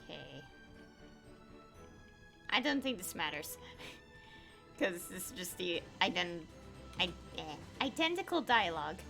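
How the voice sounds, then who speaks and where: Gamer girl doing offhand commentary, bright voice, really up and down, woman talking casually to viewers. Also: fairly low pitch, very animated, slow pace, vocal fry, long pauses, soft background music. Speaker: gaming video creator.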